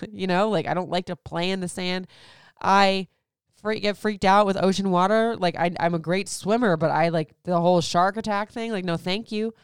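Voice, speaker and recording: A woman's voice: on the low side, fairly even intonation, moderate pace, clean tone, dry recording, studio quality. A woman in her thirties from Florida, chatting candidly as a host answering listener questions, rambling with lots of "like"s. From Florida, USA. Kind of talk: casual chat.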